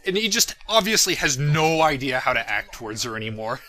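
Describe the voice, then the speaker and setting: A man's voice: mid-range voice, sing-song, a little fast, bright, forceful tone. A man giving casual, unscripted commentary as the creator of a fan parody series, self-deprecating and irreverent, swearing freely and often chuckling as he talks.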